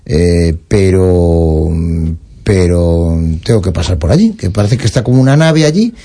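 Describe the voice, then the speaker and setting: A man's voice: low voice, lively intonation, measured pace, dark tone. A man co-hosting a local radio show, chatting casually, often stalling and repeating words. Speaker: radio co-host.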